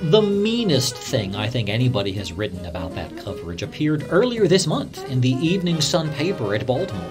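A man's voice: medium pitch, big pitch swings, brisk, music in the background, lightly acted. A man playing a radio newsman who earnestly recalls his career in a dramatised first-person recollection. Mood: indignant, reflective.

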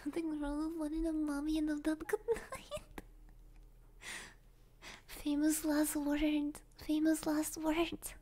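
Female ASMR streamer, very high girlish pitch, soft mellow delivery, often whispering, close-miked chat. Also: monotone, slow pace, pauses often. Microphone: close binaural microphone.

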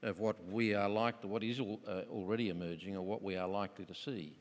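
A man moderating a conference panel, asking measured questions with some fillers. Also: lowish voice, fairly even intonation. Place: conference stage in a large hall. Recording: long hall reverb.

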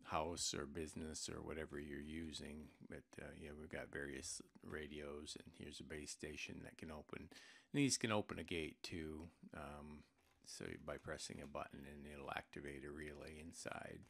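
Very deep, gravelly male product presenter. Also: fairly bright tone. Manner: halting, informal explaining with mid-sentence pauses. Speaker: product presenter for an intercom seller. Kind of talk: unscripted product walkthrough over screen recording.

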